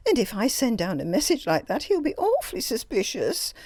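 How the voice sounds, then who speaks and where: A woman's voice: a little high, huge pitch swings, brisk, darkish tone, no room sound, clean studio sound, voice pushed up. A woman voicing a timid society woman with a sugary, wailing voice. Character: frightened, whining society woman.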